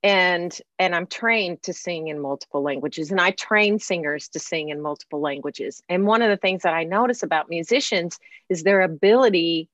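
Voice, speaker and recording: A woman who is a classically trained singer, hosting an interview in animated, chatty conversation with frequent laughs. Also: on the low side, moderate pace, no echo, muffled recording. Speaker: podcast host, professional classical singer. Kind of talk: casual interview chat.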